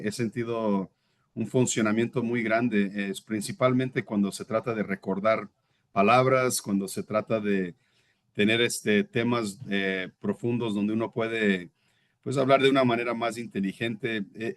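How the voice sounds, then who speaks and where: A man's voice: lowish voice, steady tone, a little slow. A Brazilian-born man in his thirties, a native Portuguese speaker, giving a reflective, earnest personal testimony as an interview guest and sometimes searching for words.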